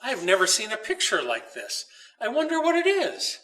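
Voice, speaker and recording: Male storyteller as curious young storybook boy, wondering, exclamatory, swooping pitch, a bit distant from mic. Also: huge pitch swings, moderate pace, bright, forceful tone, full character voice. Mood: puzzled wonder. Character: curious young boy, easily startled, full of questions.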